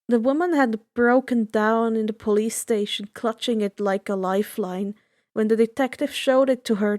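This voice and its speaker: A woman's voice: on the high side, darkish tone. A woman reading fan fiction aloud as scripted story narration.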